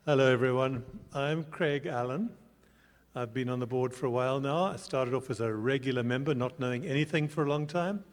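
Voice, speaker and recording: Board chair, male, from South Africa, relaxed, hall reverb. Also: mid-range voice, brisk.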